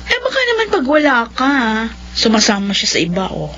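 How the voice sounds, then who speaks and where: High-pitched, coy, scheming young woman. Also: huge pitch swings, fairly quick, fairly bright tone, slight background noise, full character voice. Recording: muffled lo-fi drama audio with music.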